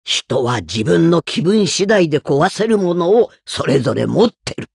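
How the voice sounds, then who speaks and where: Gravelly old female martial arts master. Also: deep voice, sing-song, measured pace, darkish tone, dry recording, full character voice. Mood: stern, scolding. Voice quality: gravelly, raspy. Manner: blunt, old-fashioned elderly phrasing. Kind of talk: video game character voice lines.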